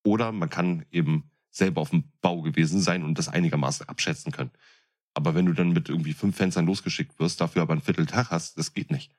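A man's voice: sits low, fast talker, darkish tone. A man in his thirties chatting casually as a podcast co-host, with a blunt, colloquial way of talking. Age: 30s. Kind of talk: casual chat.

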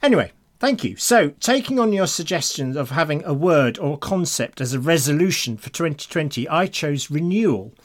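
A man's voice: on the high side, big pitch swings, normal speed, few pauses. A man co-hosting a podcast, chatting casually and reading out listener emails. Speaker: podcast co-host.

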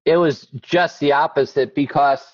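A man's voice: a little high, moderate pace, no room sound. A man being interviewed about his book, telling blunt, wry stories from his family life and acting out what people said. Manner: wry, blunt, acts out quoted lines. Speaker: guest author. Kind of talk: candid conversational storytelling. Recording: slightly muffled dry recording.

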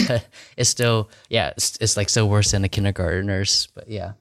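Relaxed male podcast host, lower voice, easygoing and brief. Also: normal speed, gravelly. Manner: laid-back, brief, says yeah a lot. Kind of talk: casual interview.

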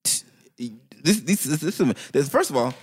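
A young man co-hosting a casual chat podcast, joking loudly and laughing often. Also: on the high side, big pitch swings, slow pace, slightly rough. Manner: loud laughing, joking, profane.

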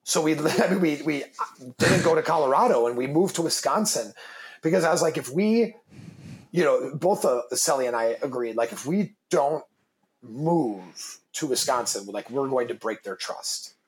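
A man in his thirties with a very deep voice, talking casually and emphatically in an interview, swearing freely and repeating words as he starts his thoughts. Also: lively intonation, normal speed, low-quality recording. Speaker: guest, business founder and father.

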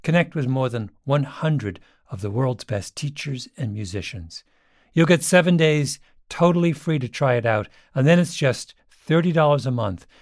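A man's voice: mid-range voice, darkish tone, dry recording, clean studio sound. A man presenting a scripted advertisement in a conversational, direct-to-listener way.

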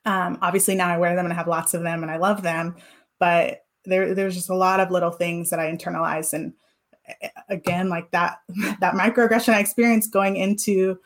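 A woman's voice: fairly low pitch, fairly even intonation, a little fast. A woman in her thirties, a guest in an interview, talking thoughtfully about her past with many fillers. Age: 30s. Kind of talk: reflective interview talk.

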